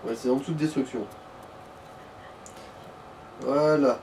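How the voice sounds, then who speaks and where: A man's voice: mid-range voice, steady tone, measured pace, darkish tone, recorded from a distance, a little hiss or hum. A man chatting casually as a let's play co-commentator, laid-back and slangy with dry jokes. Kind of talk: casual gameplay chat.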